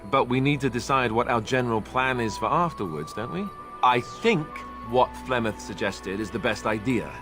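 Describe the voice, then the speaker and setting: An actor playing an earnest young warrior in a fantasy video game, conversational, wry and self-deprecating. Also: male voice, medium pitch, normal speed, music in the background, close to an everyday voice.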